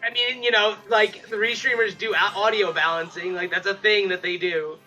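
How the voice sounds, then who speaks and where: A man's voice: sits high, steady tone, normal speed, pushed and bright, soft background music, low-quality recording. A man doing casual speedrun commentary with dry, teasing quips, talking into a desk mic. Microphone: desk mic, a bit farther than forearm's length.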